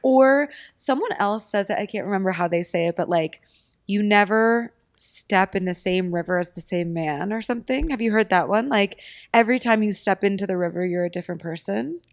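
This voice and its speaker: A young adult woman with a slightly raspy voice, co-hosting a podcast in casual, playful chat. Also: mid-range voice, fairly quick, dry recording, studio quality. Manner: laughs often, chatty and playful. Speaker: podcast co-host.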